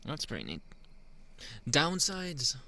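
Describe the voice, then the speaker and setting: Slow, gravelly man playing a flirty werewolf. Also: on the high side, huge pitch swings, pushed and bright, exaggerated voice. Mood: boastful, playful. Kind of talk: ASMR roleplay monologue.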